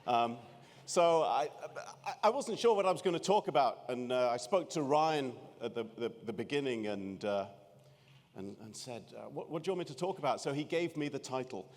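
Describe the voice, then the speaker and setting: Scottish man, fifties, wry keynote speaker, mid pitch, long hall reverb. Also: lively intonation, moderate pace, a little mellow. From Scotland. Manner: wry, self-deprecating, many restarts. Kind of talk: humorous keynote talk. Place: large conference room.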